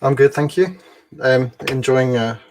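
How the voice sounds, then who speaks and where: A man's voice: medium pitch, a little slow, a little hiss or hum, rough recording. A man in his forties talking casually as a guest on an online video call, thoughtful, with fillers and restarts. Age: 40s. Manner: thoughtful, many fillers and restarts, laughs often.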